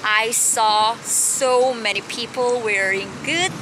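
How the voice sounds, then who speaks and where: A woman's voice: on the high side, slow, background noise. A young woman from South Korea, speaking English as a second language, chatting candidly to a handheld camera on a city street. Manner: chatty and candid, with small asides to herself. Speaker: vlogger. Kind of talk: casual vlog talk to camera. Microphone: camera at arm's length. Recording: background music under the voice.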